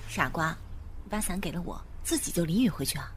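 An actress playing an affectionate older girlfriend, a career woman who chides softly and fondly in an audio drama. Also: fairly low pitch, lively intonation, fast talker, slight background noise, lightly acted. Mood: tender scolding. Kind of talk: Chinese amateur audio drama acting.

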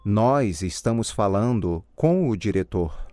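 A man giving scripted model answers in a language drill with careful, deliberate enunciation. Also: on the low side, animated. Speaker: language course model speaker.

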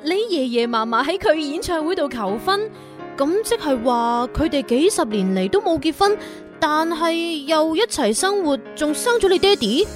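Woman playing a coy, high-voiced young heroine. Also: lively intonation, moderate pace, over music. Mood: surprised. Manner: playful, coy. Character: sweet, teasing young museum worker heroine. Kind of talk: romantic radio drama dialogue.

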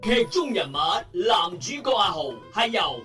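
A woman's voice: mid-range voice, big pitch swings, measured pace, fairly bright tone. A woman with a Cantonese accent reading comic scripted cast credits as an announcer. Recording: echoey, music underneath.

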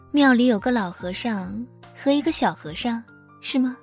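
A woman playing a composed, indulgent lover in an audio drama, gently coaxing and quietly teasing. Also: fairly high pitch, expressive, takes it slowly, faint music behind. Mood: amused, indulgent. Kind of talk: modern audio drama acting.

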